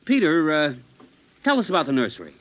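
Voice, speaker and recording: A man's voice: on the high side, huge pitch swings, brisk. An actor in a 1950s radio drama playing a worried, stern middle-aged American father who placates his wife. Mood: stern, suspicious.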